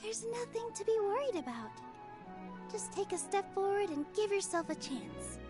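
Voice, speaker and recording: An actress playing a gentle young dancer who speaks softly and reassuringly, over game music. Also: female voice, very high voice, very animated, normal speed. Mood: encouraging. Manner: soft and reassuring. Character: gentle young female dancer, warm, encouraging.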